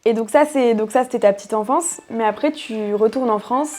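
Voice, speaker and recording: A woman's voice: a little high, fairly quick, a little mellow, soft background music. A woman interviewing a guest, asking curious questions in a casual way.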